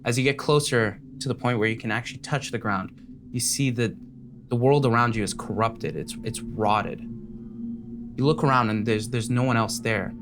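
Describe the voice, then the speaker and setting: A man's voice: medium pitch, steady tone, moderate pace, noisy background. A man working as game master, narrating a tabletop fantasy game in vivid, descriptive second-person storytelling.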